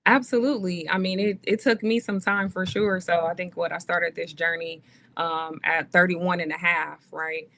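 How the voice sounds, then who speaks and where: A woman's voice: on the low side, steady tone, moderate pace, a bit bright, a little hiss or hum. A woman in her thirties, a podcast guest, talking earnestly and casually with many fillers. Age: 30s. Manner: earnest, faith-driven, many "um"s and "like"s. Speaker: guest, actress and author.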